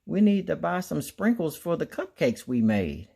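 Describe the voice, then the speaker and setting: A man's voice: fairly high pitch, sing-song, moderate pace, vocal fry, darkish tone, husky, full character voice. A man voicing a friendly hyena in a children's storybook. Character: friendly hyena asking for a lift.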